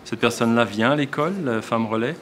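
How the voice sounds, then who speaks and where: A man's voice: medium pitch, fast, a little hiss or hum. A male teacher with a Québécois accent, explaining in a documentary interview and often restarting his sentences as he thinks. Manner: reflective, explains with frequent restarts. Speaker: elementary school teacher.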